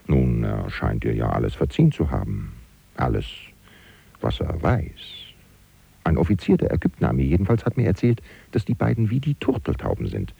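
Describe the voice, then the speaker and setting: Gossipy, insinuating manservant, radio play; extremely husky, very low and expressive. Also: male voice, moderate pace, lo-fi sound, exaggerated voice. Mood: confiding, gossipy. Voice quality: gravelly, husky. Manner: chatty, insinuating, mock-scandalized. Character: gossipy, catty manservant of a powerful French politician. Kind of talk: German radio play monologue, confiding to the listener.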